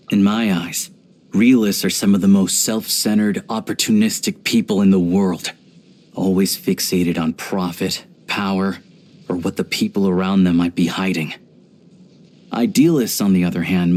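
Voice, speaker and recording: A man voicing a video game character, an earnest, pragmatic young hunter and rifleman who talks in a measured, reflective way. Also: low-pitched, darkish tone. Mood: thoughtful.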